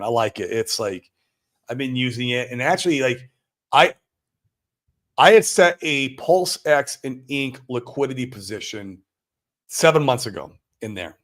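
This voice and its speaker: American man, mid-pitched voice, casual livestream host, slangy, exclamatory, talking to viewers. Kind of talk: casual chat, answering viewer comments live.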